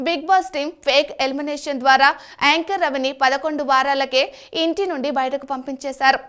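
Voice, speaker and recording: A woman's voice: high voice, fairly even intonation, fairly quick, fairly bright tone. A woman reading a scripted voice-over as an entertainment news narrator.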